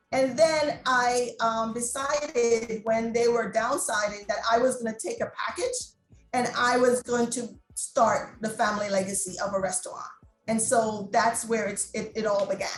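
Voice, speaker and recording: High-voiced woman from Jamaica, chatty. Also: roomy sound, low-quality recording. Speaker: restaurant owner and guest. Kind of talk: interview conversation.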